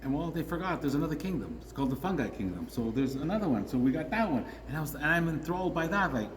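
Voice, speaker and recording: A man's voice: a little high, very animated, quick pace. A man chatting enthusiastically as a guest, telling long stories with many tangents. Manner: enthusiastic, tangent-prone storyteller. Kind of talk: casual chat. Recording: long hall reverb, faint noise.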